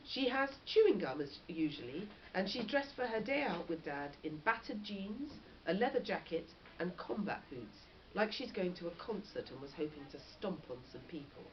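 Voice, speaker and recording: A woman, a teacher reading a story aloud and putting questions to her class in an expressive, encouraging way. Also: on the low side, normal speed, few pauses. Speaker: primary school teacher. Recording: dull lo-fi recording with background noise.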